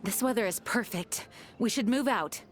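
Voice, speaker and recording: A woman's voice: fairly high pitch, moderate pace, faint music behind, close to an everyday voice. A woman playing a dutiful, earnest young knight in a video game, speaking formally and with composure. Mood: resolute, composed. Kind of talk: video game character voice lines.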